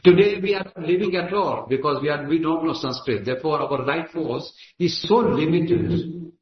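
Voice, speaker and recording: A man's voice: a little high, moderate pace. A man with an Indian accent, a native Gujarati speaker, giving an emphatic, declamatory speech at a meeting. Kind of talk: impassioned speech. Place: assembly hall. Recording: echoey lo-fi hall recording.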